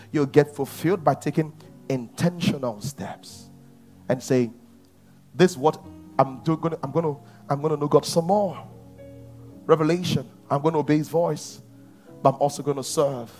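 A man preaching a sermon to his church, at times rising to shouts. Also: on the high side, normal speed, soft background music. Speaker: pastor.

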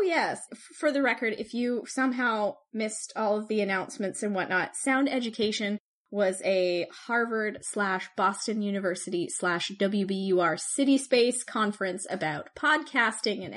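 A woman co-hosting a podcast, telling stories in an animated, chatty way. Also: mid-range voice, normal speed. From Canada. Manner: chatty, animated storytelling with laughs. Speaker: podcast co-host. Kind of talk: casual chat.

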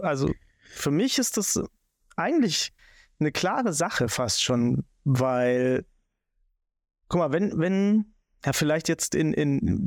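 A man's voice: fairly high pitch, no echo, studio quality. A man with a Swabian German accent, hosting a casual podcast talk and rambling with many restarts. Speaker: podcast host.

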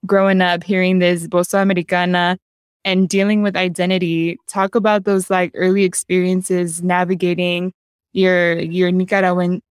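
A woman's voice: fairly low pitch, smooth, dry recording, clean studio sound. A young adult woman hosting a casual podcast conversation, chatty and affirming, talking with enthusiasm. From United States. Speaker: podcast host.

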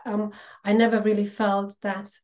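A middle-aged woman from Slovakia with a Hungarian-Slovak accent, a podcast guest telling her life story earnestly with frequent pauses. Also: mid-range voice, completely flat delivery, darkish tone, muffled recording. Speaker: podcast guest, empowerment coach. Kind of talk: personal storytelling interview.

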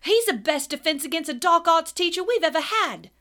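A woman voicing a bold teenage schoolboy speaking up loudly. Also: very high-pitched, expressive, a little fast, voice pushed up, full character voice. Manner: bold and loud.

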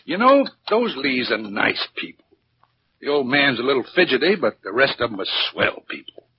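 An actor playing a chatty, folksy small-town American filling station owner, easygoing and gossipy, in a 1940s radio drama. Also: male voice, medium pitch, sing-song, normal speed, close to an everyday voice. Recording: muffled, noisy 1940s radio recording.

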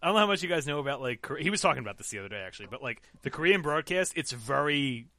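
A man hosting a casual podcast chat, energetic and laughing easily, with lots of fillers. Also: a little high, racing, dry recording. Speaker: podcast host.

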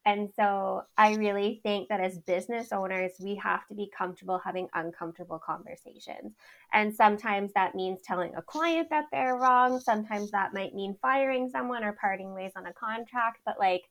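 A woman with a high voice, a business owner talking as a podcast guest in an outspoken, animated way, laughing often. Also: moderate pace. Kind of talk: casual interview chat.

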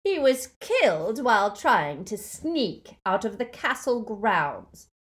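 A woman reading aloud and voicing a cold, gloating male dark wizard with a soft, silky, hissing menace. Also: mid-range voice, very animated, slow, voice pushed up. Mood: triumphant, gloating.

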